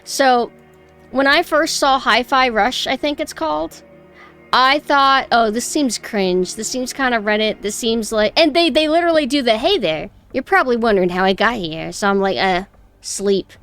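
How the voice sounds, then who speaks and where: A young woman with a high voice, chatting casually and snarkily on a stream, her close mic clipping on loud peaks. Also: animated, normal speed, clear, resonant tone, soft background music. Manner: chatty, rambling, mockingly snarky. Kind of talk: casual reaction stream chat. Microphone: close mic that clips loud peaks.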